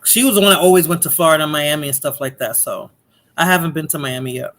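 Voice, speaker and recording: A woman's voice: low voice, fast, fairly bright tone. A woman with a Bronx accent hosting a casual livestream chat, laughing often and repeating herself. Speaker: livestream host.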